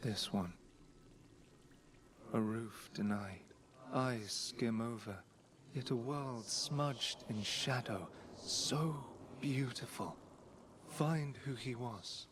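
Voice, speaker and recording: A compassionate spirit in a fantasy game, cryptic and sorrowful. He speaks very slowly in a mid-pitched, hushed voice, leaving the lines in fragments. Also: noisy background. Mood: tender, mournful. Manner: halting, hushed, poetic. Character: gentle, mournful spirit of compassion, cryptic and poetic. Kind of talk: video game voice acting.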